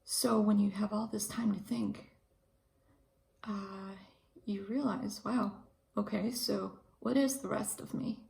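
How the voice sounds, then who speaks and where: A woman in her thirties from Ukraine, a pharmacist, talking through her thoughts in a hesitant, reflective monologue with frequent pauses and fillers. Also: mid-range voice, fairly even intonation, creaky, a little mellow. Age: 30s. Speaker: pharmacist and video host.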